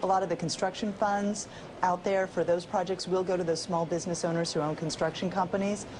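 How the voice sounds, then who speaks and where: A woman's voice: on the low side, flat delivery, brisk, noisy background. A woman in her thirties, a remote TV guest, explaining economic policy fluently and upbeat. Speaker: think-tank economist guest. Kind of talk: live TV interview. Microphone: remote studio camera.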